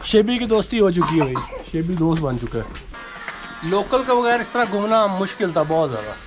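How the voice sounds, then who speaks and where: A man's voice: high voice, very animated, fairly quick, over music. A man with a Pakistani accent casually narrating a travel vlog and chatting with locals. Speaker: travel vlogger. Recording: clear background noise, handheld outdoor vlog.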